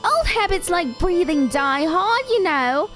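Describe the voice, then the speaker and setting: A woman's voice: extremely high pitch, big pitch swings, unhurried, over music, exaggerated voice. A woman playing a sarcastic, foul-mouthed old female ventriloquist's dummy in an audio drama, theatrical and biting. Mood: indignant.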